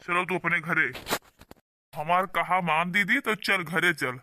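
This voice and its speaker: A man's voice: sits high, steady tone, a little slow, pushed and bright, slight background noise, exaggerated voice. A man voicing a protective, indignant younger brother from a poor village in a cartoon, insistent, with an Awadhi accent. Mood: indignant, urging. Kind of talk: cartoon dialogue.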